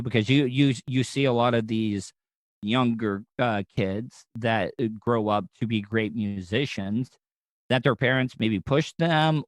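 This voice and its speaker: A man's voice: mid-range voice, dry recording. An American man hosting a podcast interview, chatting casually in long, rambling asides. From United States. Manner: rambling, talks in looping asides. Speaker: podcast host.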